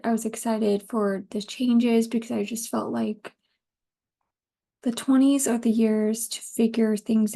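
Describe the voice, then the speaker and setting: Casting: woman podcaster, thirties, light higher voice with a soft, relaxed tone, informal and rambling. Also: gravelly. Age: 30s. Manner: informal, rambling, laughs easily, occasional swearing. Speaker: podcast host. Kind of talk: casual solo podcast chat.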